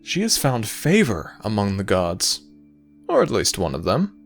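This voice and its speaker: Casting: male actor as teasing Egyptian god of the afterlife, lively swooping delivery, sly dramatic pauses, fantasy audio drama over music. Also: lowish voice, creaky, full character voice. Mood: lofty, knowing. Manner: theatrical, sly, measured storytelling with dramatic pauses. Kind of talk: scripted fantasy audio roleplay.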